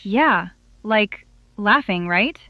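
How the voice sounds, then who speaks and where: Actress as a lively young friend, noisy clip. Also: female voice, medium pitch, drawn-out words, muffled recording. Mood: curious, casual. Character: young woman, the player's friend on the phone. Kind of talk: analog horror voice acting.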